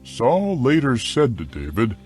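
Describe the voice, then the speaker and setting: A man narrating a dramatized scripture account in a measured, deliberate storytelling style. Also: mid-range voice, wildly swooping pitch, faint music behind.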